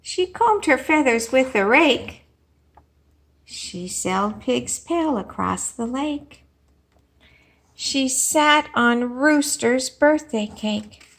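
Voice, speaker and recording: A woman teacher reading a storybook aloud and talking to young children, expressive, with questions and pauses for them. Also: sits high. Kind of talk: animated storybook read-aloud and lesson.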